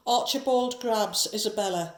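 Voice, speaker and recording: A woman's voice: mid-range voice, normal speed, echoey room. A woman narrating a horror audio drama from a script, describing each scene.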